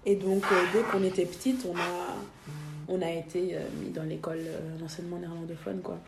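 A woman's voice: on the low side, little pitch movement, fairly quick, music in the background. Young woman, lots of euh and restarts, echoey room. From Belgium. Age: young adult. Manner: hesitant, many fillers and restarts. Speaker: podcast guest. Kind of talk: casual interview.

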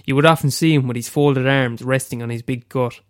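Irish man, young, stuffy head cold, smooth resonant voice, dry close sound, reading own story. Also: mid-range voice, steady tone, fairly quick, no echo. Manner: casual, hesitant with fillers in the intro. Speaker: amateur storyteller. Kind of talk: reading his own short story aloud.

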